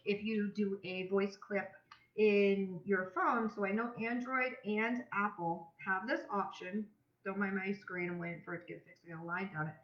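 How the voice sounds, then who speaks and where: A woman talking casually and emphatically to followers on a live stream, with informal slang, as a coach for a health and fitness business. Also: mid-range voice. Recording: dull, roomy live-stream audio.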